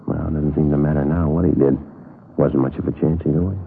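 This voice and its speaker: An American actor playing a laconic, world-weary police detective sergeant in a 1950s radio crime drama, terse and deadpan. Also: male voice, a bass voice, quick pace, gravelly, husky, exaggerated voice. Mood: weary, resigned. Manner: deadpan, terse, matter-of-fact. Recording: muffled vintage radio recording, faint music.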